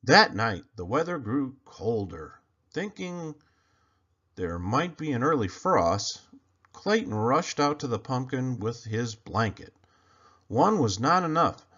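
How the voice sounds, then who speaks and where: A man reading a children's storybook aloud in an expressive, emphatic storytelling manner. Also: medium pitch, takes it slowly, slightly rough, highs cut off. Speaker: storybook reader.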